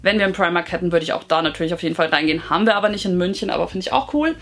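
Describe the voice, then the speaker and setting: A woman's voice: fairly low pitch, racing, fairly bright tone. A woman of thirty from Hesse with a slight Hessian German accent, chatting casually and informally to camera as she answers viewer questions. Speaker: beauty YouTuber.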